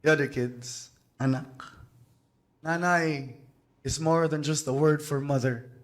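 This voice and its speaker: Slow, deliberate Filipino man reciting poetry. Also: on the high side, steady tone. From Philippines. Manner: slow, deliberate delivery with pauses. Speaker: spoken word poet. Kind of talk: spoken word poetry performance. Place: small cafe, live event.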